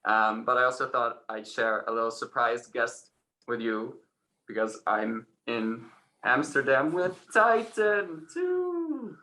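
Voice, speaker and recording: A man's voice: medium pitch, big pitch swings, slow pace, pushed and bright. A man with an American accent, a guest on a casual video call, speaking fluently and cheerfully. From United States.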